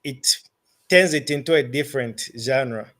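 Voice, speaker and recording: A man with a Zambian accent answering interview questions as a musician, phrasing his thoughts deliberately and haltingly. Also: medium pitch, a bit bright.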